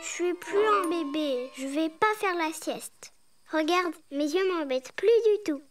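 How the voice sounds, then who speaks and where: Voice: very high-pitched, expressive, measured pace. A performer voicing a little bear cub boy in a preschool cartoon, childlike, simple and prone to whining. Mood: insistent. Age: child character. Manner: childlike, simple, whiny when upset. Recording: music behind the voice.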